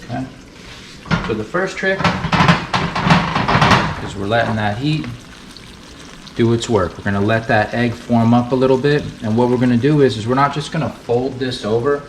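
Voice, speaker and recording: A man from the United States hosting a relaxed, chatty cooking tutorial in his kitchen. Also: mid-range voice, normal speed, pauses often, a little hiss or hum. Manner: relaxed, chatty, fond of saying beautiful. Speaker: cooking channel host. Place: kitchen with food frying on the stove. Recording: roomy sound, mic set at a distance, sizzling pan.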